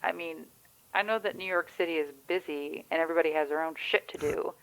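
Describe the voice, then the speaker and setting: A woman's voice: low voice, steady tone, fast talker, gravelly, fairly bright tone, low-quality recording. A woman playing a chatty, wry, anxious friend in a naturalistic audio drama, rambling candidly with the odd swear. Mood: hurt, exasperated. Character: chatty, wry, anxious Michigan woman on the phone during zombie outbreak.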